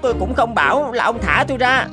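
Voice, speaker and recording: Woman voicing a high-pitched fawning cartoon turkey. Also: lively intonation, fast talker, over music, natural voice. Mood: coaxing, persuasive. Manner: pleading, ingratiating, persuasive. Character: wild turkey, cunning fawning betrayer pleading for life. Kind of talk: cartoon character acting.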